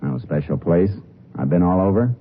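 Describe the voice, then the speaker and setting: Deep-voiced actor as a hard-boiled Texas lawman. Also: moderate pace, slight background noise, natural voice. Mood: wary, gruff. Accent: American. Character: Texas Ranger posing as surly tough jailed crook. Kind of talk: 1950s radio acting and first-person narration. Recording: muffled vintage 1950s radio recording, music.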